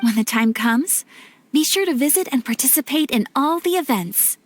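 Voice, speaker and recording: A woman's voice: high-pitched, expressive, normal speed. A woman voicing a polite, refined young noblewoman in a video game, courteous and formal. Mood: gracious. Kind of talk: video game character acting.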